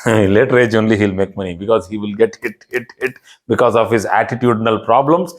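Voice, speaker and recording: A man's voice: lowish voice, fairly even intonation, fairly quick. A man with an Indian English accent, a native Kannada speaker, teaching an online astrology class in an explanatory, emphatic way and repeating key phrases. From southern India.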